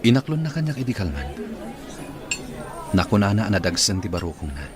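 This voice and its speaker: An earnest, troubled young Filipino man in a radio drama, played by an actor. His voice is deep, heard over clear restaurant chatter and clinking. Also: very animated, brisk.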